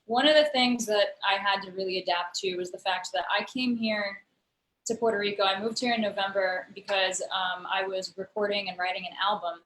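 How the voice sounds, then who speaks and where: A woman's voice: medium pitch, steady tone, brisk, a bit bright. Chatty woman in an echoey room. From United States. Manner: thoughtful, explains at length with many fillers. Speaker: guest, digital storyteller and musician. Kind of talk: casual interview conversation.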